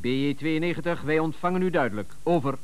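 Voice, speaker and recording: An actor playing a brisk police radio dispatcher in a radio drama, formal, clipped and procedural. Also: male voice, a little high, slight background noise, natural voice. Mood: official.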